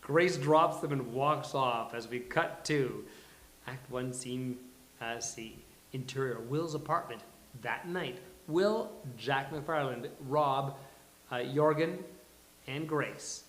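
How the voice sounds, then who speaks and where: A man hosting a live table read, announcing and reading stage directions in a halting, deliberate way. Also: medium pitch, fairly bright tone. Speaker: host and stage-direction reader. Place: live venue with audience. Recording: echoey live venue.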